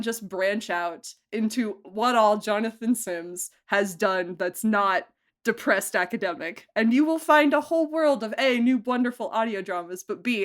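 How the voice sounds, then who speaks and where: A woman speaking casually as a producer in an interview, effusive and emphatic, with a joking way of talking. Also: a little high. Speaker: audio drama producer and director being interviewed. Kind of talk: casual behind-the-scenes interview.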